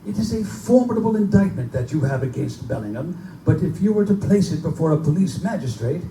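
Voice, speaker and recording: A man playing a measured, skeptical English professor in a live gothic horror drama, speaking formally and reasoning things through. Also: high-pitched, expressive, mellow, distant mic. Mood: skeptical caution. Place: live performance in a bookstore. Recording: echoey live bookstore recording from afar, faint noise.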